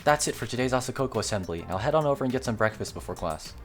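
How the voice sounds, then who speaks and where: A peppy, confident young woman student leader voiced by a man from a visual novel, boastful yet encouraging. The pitch swings widely and the words come quickly, with faint music behind. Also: animated, close to an everyday voice. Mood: excited.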